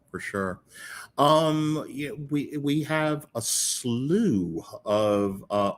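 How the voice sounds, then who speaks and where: A man's voice: fairly low pitch, fairly bright tone. A man moderating a panel in a casual, affirming way, reading out audience questions. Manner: casual, affirming, repeats "for sure".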